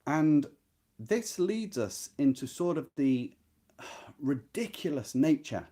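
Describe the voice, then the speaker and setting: A man's voice: on the high side, big pitch swings, slow pace, warm tone. A man hosting an informal literary discussion, explaining conversationally and often turning to the listener with questions. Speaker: host of a book readalong. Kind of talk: informal literary discussion with short read-out passages.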